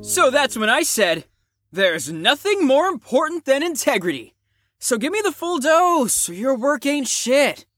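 A woman's voice: sits high, sing-song, a little slow, fairly bright tone, faint music behind, full character voice. A woman playing a brash, crude, flippant psychiatric patient in an audio drama, with a theatrical, swaggering manner. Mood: cocky, flippant.

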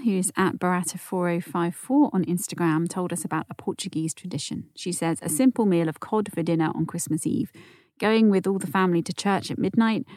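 A woman hosting a calm podcast, gently reading out listener messages with quiet near-whispered asides. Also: lowish voice, no echo, clean studio sound. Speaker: podcast host.

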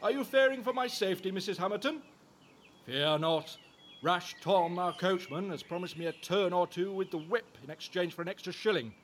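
An actor playing a genial, wry, talkative Georgian husband, henpecked yet cheerful, in a period audio drama. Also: male voice, high voice, normal speed, fairly bright tone, slight background noise. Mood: merry.

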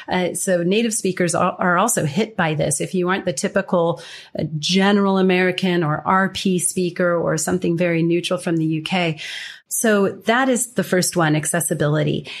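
A woman consultant answering interview questions in an articulate, explanatory way. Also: fairly low pitch. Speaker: communication consultant, guest.

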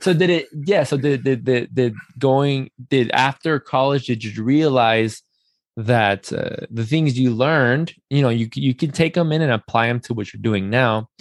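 A man's voice: medium pitch, unhurried, no room sound. A man hosting a podcast interview in a casual, talkative and affirming way. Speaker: podcast host.

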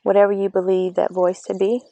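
A woman giving a reflective, earnest motivational talk outdoors, speaking slowly and asking the listener questions, her voice slightly raspy. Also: medium pitch, flat delivery, mellow, no echo, lo-fi sound. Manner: slow, earnest, coaching, asks the listener questions. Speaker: vlogger giving spiritual encouragement. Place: outdoors among birds. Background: birdsong.

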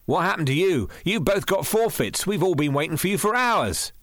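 A man reading a storybook voices a lively young man with a brisk, loud, teasing manner. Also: high voice, no room sound, clean studio sound, voice pushed up. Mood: excited. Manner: brisk, calling out.

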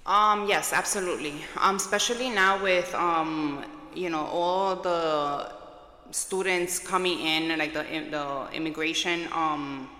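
Low-voiced woman in an echoey room. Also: fairly even intonation, unhurried. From New York, with childhood in Puerto Rico. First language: Spanish. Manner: soft-spoken, hesitant with many fillers. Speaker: school social worker. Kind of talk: interview, personal recollection.

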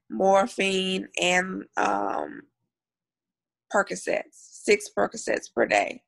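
A woman from north Louisiana, a guest on a remote call, answering interview questions in a soft, measured way with pauses and fillers. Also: medium pitch, vocal fry. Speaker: guest, cannabis advocate and educator.

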